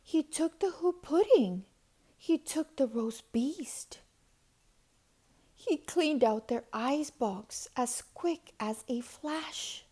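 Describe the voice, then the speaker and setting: A woman reading a children's storybook aloud in an expressive, deliberate, dramatic way, hushed at times. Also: high-pitched. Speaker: storybook reader.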